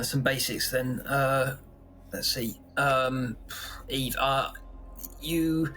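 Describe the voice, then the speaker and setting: A man playing a fretful boss of a space factory in an improvised audio drama, conversational and halting, fumbling for words. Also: medium pitch, fairly bright tone, poor audio.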